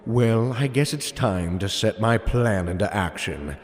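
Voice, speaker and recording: A man's voice: lowish voice, expressive, a little slow. Man playing a swooping, gloating comic-book supervillain. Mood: smug, gloating. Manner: mock-polite, theatrical. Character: gloating comic-book supervillain mastermind, male, smug and theatrical. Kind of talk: audio superhero comedy drama. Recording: reverberant hall sound with music.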